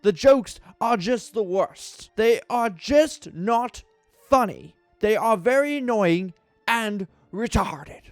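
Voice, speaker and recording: An aggrieved, earnest fan played by a man delivers an online cartoon review as mock high drama. The voice is high, halting and heavy on emphasis, recorded dry with faint music underneath. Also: lively intonation, dry recording, full character voice. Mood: indignant.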